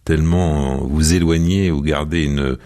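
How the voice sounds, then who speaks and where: A man's voice: extremely low pitch, fairly even intonation, a little slow, mellow. A man hosting a radio interview, asking long, formal, elaborately phrased questions. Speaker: radio host.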